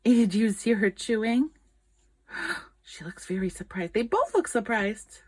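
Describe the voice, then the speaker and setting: A woman reading a children's picture book aloud in an expressive, dramatic storytelling manner. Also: fairly high pitch, a little slow, breathy edge. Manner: expressive, dramatic, with gasps and whispered asides.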